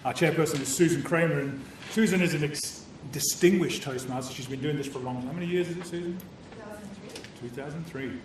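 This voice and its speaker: A man's voice: fairly high pitch, brisk. A man hosting a club meeting, cheerful and bantering as he opens the evening with jokes and a safety talk. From new Canadian citizen. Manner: cheerful, bantering, self-deprecating. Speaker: meeting host opening a club meeting. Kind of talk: jokey public-speaking address to a club audience. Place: meeting room with a live audience. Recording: echoey room, far-off mic, noisy.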